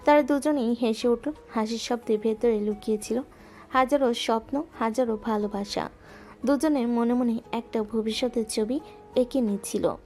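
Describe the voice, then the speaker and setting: A woman narrating a romantic audio story in a gentle, measured way, with soft music underneath. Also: on the high side, fairly even intonation.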